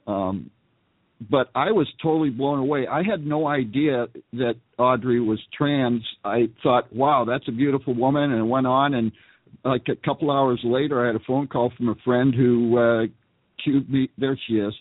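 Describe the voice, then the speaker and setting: Male talk show host, relaxed and hesitant, tinny dry sound. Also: mid-range voice, moderate pace, no room sound. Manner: relaxed, hesitant, many fillers. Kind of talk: casual talk show chat. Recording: tinny phone-like line, dry.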